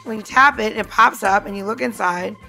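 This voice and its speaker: A woman's voice: medium pitch, a little fast, vocal fry, pushed and bright, soft background music, low-quality recording. A woman co-hosting a podcast as bartender, casually talking listeners through a cocktail demonstration in a chatty way. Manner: chatty, talks the listener through each step.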